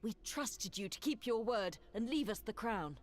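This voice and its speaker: An actress playing a blunt, resentful adventurer with dry wit in a video game cutscene. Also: female voice, fairly high pitch, lively intonation, normal speed, natural voice. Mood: bitter.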